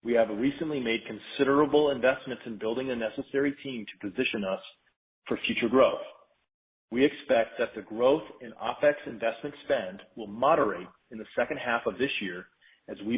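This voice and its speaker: Male corporate presenter, businesslike scripted delivery, mid-pitched voice, thin telephone-band sound. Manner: formal, measured, businesslike. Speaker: company finance executive. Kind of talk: scripted earnings call presentation. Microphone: conference call line. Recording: narrow tinny phone-line sound.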